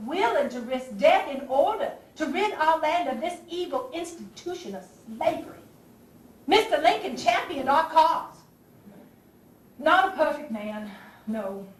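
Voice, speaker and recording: A woman acting an impassioned Southern abolitionist lady spy in a Civil War stage play, delivering a theatrical monologue to the audience with dramatic pauses. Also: high-pitched, far from the mic. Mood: indignant, sardonic. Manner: theatrical, declamatory, with dramatic pauses and rhetorical questions. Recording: echoey stage recording from afar, faint noise.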